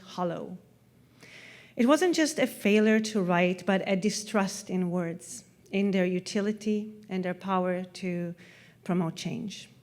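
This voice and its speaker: Reflective Hebrew-native woman speaker. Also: mid-range voice. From Israel. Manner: reflective, measured reading with soft trailing endings. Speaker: festival speaker. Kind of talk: prepared stage talk. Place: festival stage.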